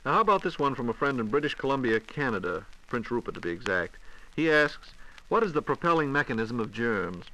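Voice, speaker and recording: A man with a medium-pitched, bright voice hosts a 1940s radio question-and-answer show. He moves along quickly, putting scripted questions in a polite, crisp announcer manner. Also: big pitch swings, slight background noise, rough recording. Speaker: radio host reading listener questions. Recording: 1940s radio broadcast recording.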